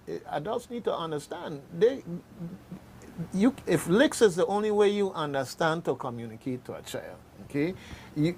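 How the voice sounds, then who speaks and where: A man's voice: fairly high pitch, darkish tone, slight background noise. A man talking casually in a panel discussion, emphatic, repeating himself and checking that he is understood.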